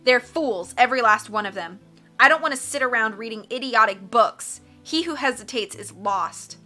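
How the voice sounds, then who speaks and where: A sneering grown male henchman from a storybook , voiced by a woman. He sounds scornful and boastful, with a forceful, very bright edge to the voice. Music is present with the voice. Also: fairly high pitch, animated, normal speed.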